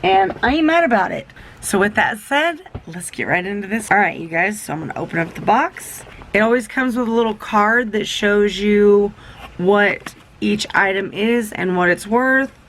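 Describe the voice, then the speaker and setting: A woman's voice: mid-range voice, animated, normal speed, fairly bright tone, slight background noise. A young woman vlogger chatting through a beauty box unboxing, rambling and enthusiastic, a little sick and losing her voice. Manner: rambling, self-interrupting, enthusiastic.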